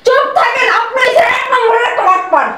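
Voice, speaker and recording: A woman playing a furious young bride who shouts insults and demands a divorce. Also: very high voice, lively intonation, quick pace, reverberant, very noisy. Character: furious young bride, sharp-tongued and scolding. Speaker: newly married bride. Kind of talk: comic domestic drama acting.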